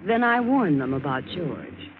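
A woman's voice: on the low side, big pitch swings, unhurried. An actress playing a bored, teasing, self-assured married American woman passenger in a vintage radio drama. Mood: coy. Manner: coy, teasing. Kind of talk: 1940s-50s American radio drama acting. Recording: tinny, noisy old radio broadcast.

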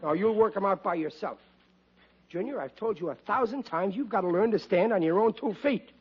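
An actor playing a blustering, stubborn, well-meaning but dim family father in an old-time radio sitcom, with a husky voice and colloquial delivery. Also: high-pitched, lively intonation, fairly quick. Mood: flustered, stubborn. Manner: exasperated, stammering, colloquial working-class bluster. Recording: dull, muffled vintage radio recording.

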